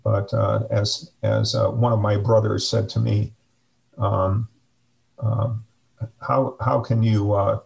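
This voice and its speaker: A middle-aged man speaking slowly and reflectively as a guest on a video call, with frequent fillers. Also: lowish voice, flat delivery, gravelly, darkish tone, poor audio. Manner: slow, measured, hesitant with many fillers. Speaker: interview guest, district superintendent. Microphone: video call webcam.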